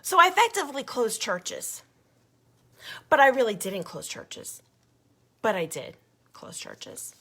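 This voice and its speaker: Hoarse woman hosting a livestream. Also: a little high, sing-song, unhurried, long pauses. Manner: indignant, gets louder when riled up. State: losing her voice, hoarse. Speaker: activist livestream host. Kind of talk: casual livestream talk to viewers.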